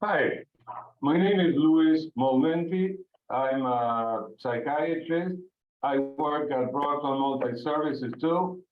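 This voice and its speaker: A man's voice: on the high side, takes it slowly, slight background noise. A man from Argentina with an Argentine Spanish accent, a native Spanish speaker and psychiatrist introducing himself in a friendly, simple way. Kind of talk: self-introduction on a video call. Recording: phone-like audio.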